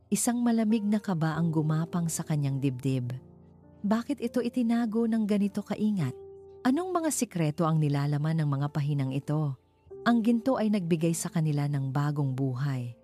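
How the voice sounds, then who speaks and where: Low, mellow Filipino woman storyteller. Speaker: narrator. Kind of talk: scripted storybook narration.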